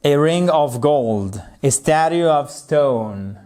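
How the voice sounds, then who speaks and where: French man teaching English, lively and clear. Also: on the high side. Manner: lively teacher, stresses and spells English words slowly, checks in with "ok?". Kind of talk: video lesson, explaining to viewers.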